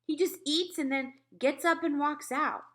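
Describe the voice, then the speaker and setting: A woman voicing excitable, gushing young girls at camp in a children's storybook. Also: high voice, unhurried, pitched above the performer's own voice. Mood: complaining. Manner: pleading, gushing.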